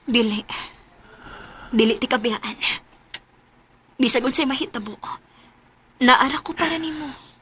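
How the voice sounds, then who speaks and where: An actress playing a caring, devoted young sweetheart in a radio drama, with a Cebuano Filipino accent. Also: female voice, sits high, measured pace, pauses often, sharp tone, slight background noise. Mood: concerned, soothing. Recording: music bed behind radio drama.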